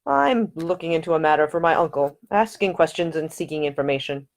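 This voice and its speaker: A woman voicing a charming young gentleman who talks in a playful, gallant, gently teasing way. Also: deep voice, lively intonation, brisk.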